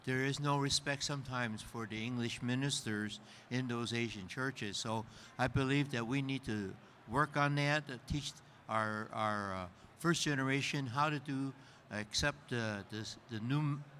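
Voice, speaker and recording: A man's voice: medium pitch, normal speed, sharp tone, a little hiss or hum. An older man, a pastor from the United States, answering on a panel hesitantly with many fillers.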